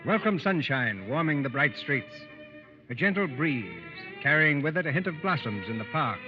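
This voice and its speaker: A man narrating a radio play in old-time scripted style, a wry, lyrical storyteller with a measured, theatrical delivery. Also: a little high, animated, lightly acted. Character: wry, lyrical male storyteller setting the scene. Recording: muffled vintage radio recording. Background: orchestral music with strings.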